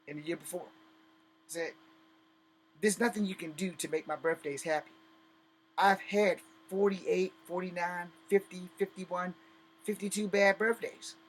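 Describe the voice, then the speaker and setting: A man's voice: sits high, low-quality recording. A man in his fifties hosting a personal vlog, talking bluntly and bitterly with profanity and emphatic repetition. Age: 50s. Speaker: host.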